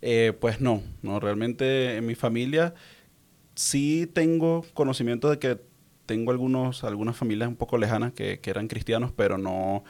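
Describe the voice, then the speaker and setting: A man's voice: mid-range voice, steady tone, normal speed, darkish tone. A young man with a Venezuelan accent giving casual personal testimony as a guest, meandering and often repeating words as he searches for them. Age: young adult. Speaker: interview guest.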